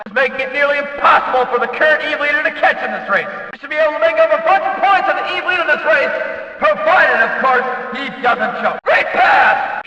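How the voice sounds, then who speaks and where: An excitable male race announcer for a racing video game, pitched extremely high and piercingly bright. He belts out dramatic, emphatic calls that ring out with heavy echo. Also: muffled recording, exaggerated voice. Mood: excited. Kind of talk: hyped race commentary. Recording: echoey hall, distant from the mic.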